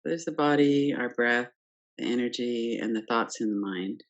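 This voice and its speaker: A woman teaching guided meditation, speaking calmly and gently in short phrases with pauses between them. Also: rumbling low, lo-fi sound. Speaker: yoga nidra meditation teacher. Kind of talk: guided meditation, unscripted instruction.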